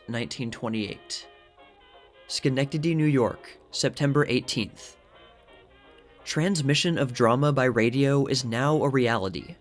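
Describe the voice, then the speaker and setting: A man giving a measured, formal scripted narration, reading a vintage news article aloud. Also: medium pitch. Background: music.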